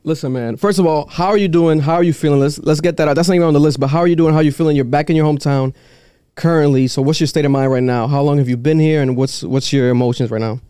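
A man hosting a casual interview, hyping up his guest with an animated, laughing delivery. His voice is mellow and smooth, recorded dry and close. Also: a little high, fast talker, no room sound. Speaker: podcast host.

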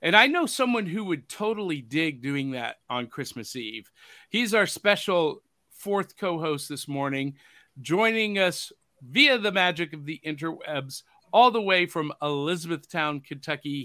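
A man's voice: on the high side, lively intonation, measured pace, bright, forceful tone, dry recording. A man hosting a pop culture podcast, upbeat and jokey in casual chat. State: hoarse from overuse. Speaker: podcast host.

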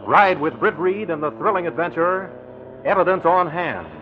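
A man's voice: fairly high pitch, normal speed, background noise. A man announcing and narrating in a dramatic, scripted 1940s radio style. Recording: tinny, band-limited old radio recording.

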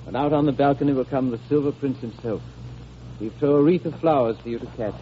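A man playing a devoted, sorrowful father in a vintage American radio drama, speaking earnestly and tenderly, with a halting delivery. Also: mid-range voice, natural voice. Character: devoted, sorrowful father shielding a dying child with fairy tales. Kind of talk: 1930s-40s American radio drama acting. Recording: muffled old radio recording with hiss.

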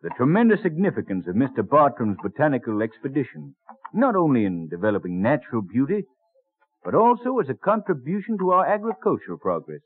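A dignified, genial colonial gentleman in a vintage radio play. His delivery swings freely in pitch, courteous and earnest, through a thin, narrow old broadcast sound. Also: very animated, fairly quick, breathy edge. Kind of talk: old-time radio drama acting.

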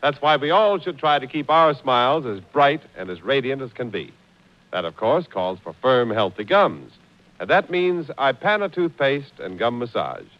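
Animated male announcer on vintage radio. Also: medium pitch, normal speed. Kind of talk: 1940s radio advertising pitch. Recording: muffled 1940s radio broadcast.

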